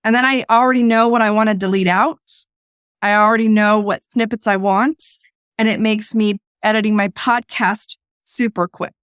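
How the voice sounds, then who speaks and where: A woman's voice: medium pitch, steady tone, normal speed, fairly bright tone, clean tone, dry recording, clean studio sound. A laid-back, chatty woman hosting a podcast from a room at home on her own desk microphone, while she is losing her voice. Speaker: podcast host. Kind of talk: casual chat. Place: home room with blinds closed.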